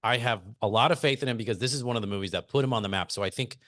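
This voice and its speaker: A man's voice: mid-range voice, very fast, fairly bright tone, dry recording, clean studio sound. A man hosting a podcast interview, easygoing and laughing often as he steers the conversation with questions. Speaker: podcast host.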